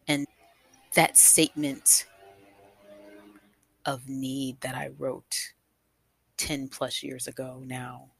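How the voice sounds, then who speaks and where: Woman, guest storyteller, unhurried pace, husky tone, reflective, emphatic, personal address to listeners. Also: extremely low pitch, a bit bright, no echo. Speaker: guest storyteller, nonprofit founder.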